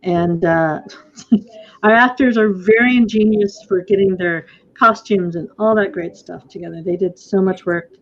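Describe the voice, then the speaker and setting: A woman's voice: mid-range voice, unhurried. A middle-aged woman with a mid-range voice hosts a casual discussion after a show. She is effusive and appreciative as she talks. The sound is a little muffled, as on a video call. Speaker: play director and host.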